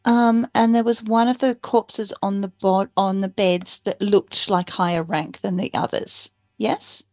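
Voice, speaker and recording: A woman's voice: mid-range voice, steady tone, a little slow, darkish tone, dry recording. A woman chatting casually as a player in a tabletop RPG. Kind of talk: casual game-table chat.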